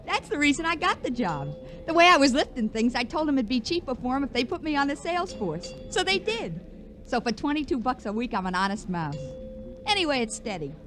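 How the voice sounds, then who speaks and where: A woman's voice: high-pitched, very animated, a little fast, a bit bright, faint music behind. An actress playing a brash, wisecracking reformed shoplifter turned salesgirl, in an old radio crime drama. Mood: breezy, wry. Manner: slangy, wry, breezy. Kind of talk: 1940s-50s radio crime drama acting. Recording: vintage radio recording with noise.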